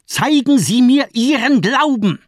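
A man's voice: extremely high pitch, lively intonation, measured pace, dry recording, studio quality. A man acting in a radio drama as a fanatical, mocking doctor, declaiming with insistent, rhetorical force. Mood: frenzied demanding. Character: fanatical, mocking villainous male doctor, zealously demanding.

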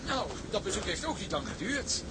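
A man's voice: sits high, sing-song, normal speed. A man playing a breezy, flamboyant driver in an audio drama, chatty and obliging. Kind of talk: Dutch audio drama acting. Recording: clearly noisy, outdoor and vehicle ambience.

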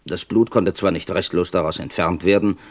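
An actor playing a persistent, stern British police inspector in a German radio crime drama, with formal, pointed questioning. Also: male voice, low voice, steady tone, normal speed. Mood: pressing, confronting.

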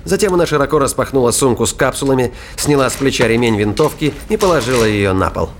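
A man's voice: mid-range voice, expressive, fast talker, a little hiss or hum. A man reading scripted narration for a science fiction thriller audio drama. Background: music and sound effects.

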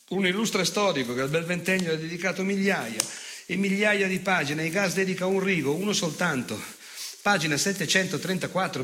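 An actor playing an unrepentant, nostalgic fascist in a theatrical monologue, sardonic and gloating, with emphatic pauses. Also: male voice, fairly high pitch, sharp tone, a little hiss or hum. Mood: sardonic, scornful. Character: unrepentant, nostalgic old Italian fascist recounting war crimes.

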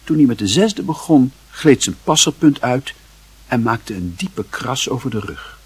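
A man narrating a literary radio play as a wry storyteller with a husky voice. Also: mid-range voice, very animated, a little slow, a little mellow, heavily acted. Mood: measured, wry.

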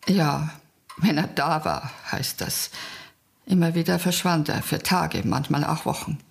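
An actress playing a weary, indifferent aunt in a radio crime drama, blunt, dry and resigned. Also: female voice, deep voice, measured pace, gravelly. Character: weary, indifferent, plain-spoken aunt of delinquent boy.

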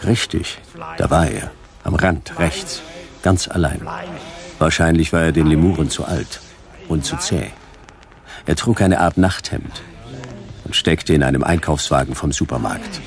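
A man playing a wry, laconic detective with a gravelly voice and a dry, clipped, deadpan delivery in a noir radio drama. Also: a bass voice, measured pace, pauses often. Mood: deadpan narration. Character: hard-boiled male detective, wry and laconic. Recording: music behind the voice.

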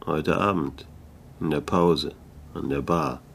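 An actor playing a laconic, disillusioned private detective who narrates and speaks in a German radio play. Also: male voice, extremely low pitch, takes it slowly, vocal fry, slight background noise, exaggerated voice. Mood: cool, probing. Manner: laconic, dry, world-weary. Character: wry, disillusioned male private detective narrating his case.

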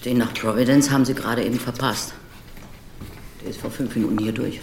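Radio drama bit part: indifferent roadside counter worker, clipped, extremely low pitch, roomy sound with faint noise. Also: female voice, steady tone, fairly quick, darkish tone. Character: curt roadside cafeteria worker, indifferent and plain-spoken. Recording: echoey room with background noise.

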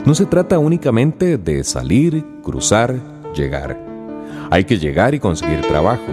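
A deep-voiced man narrates a radio drama in measured, rhetorical phrases. His pitch moves expressively, and plucked guitar music plays clearly behind him. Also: very animated. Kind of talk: scripted narration.

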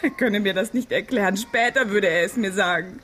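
A woman's voice: mid-range voice, fairly quick, a bit bright, slight background noise, lightly acted. An actress playing an anxious, trusting young woman in love in a radio drama, hesitant, earnest and tearful. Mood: tearful, afraid.

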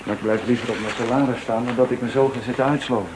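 A man's voice: medium pitch, fairly even intonation, very fast, natural voice. A man playing a grumbling, mocking office clerk with a ladykiller's reputation in a radio play. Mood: sneering. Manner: sardonic, complaining. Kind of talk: Dutch radio play acting. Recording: noisy old radio recording with clicks.

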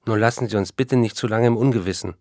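Radio drama: male actor as an effusive, self-doubting male writer, low-pitched, dry close sound. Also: quick pace, darkish tone, no room sound, lightly acted. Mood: anxious hope. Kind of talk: radio play, reading letters aloud.

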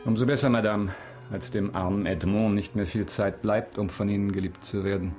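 Male actor as avenging nobleman, low voice, stern, bitter, formal delivery, radio play. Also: fairly even intonation, darkish tone, faint music behind, close to an everyday voice. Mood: grimly resigned. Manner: formal, grave, measured. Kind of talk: German radio drama acting.

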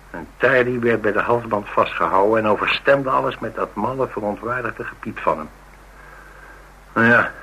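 A talkative, nosy eyewitness with a fairly deep and very bright, forceful voice. He tells his story in a wry, colloquial way, as radio drama dialogue. A slight noise sits on the recording. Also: rough recording. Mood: conspiratorial. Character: inquisitive male eyewitness recounting suspicious events, wry and talkative.

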